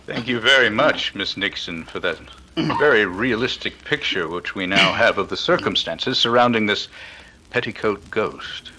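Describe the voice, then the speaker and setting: A man playing a cool, courteous, skeptical detective with formal, measured speech, in a 1930s-style radio drama. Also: on the low side, background noise, lightly acted.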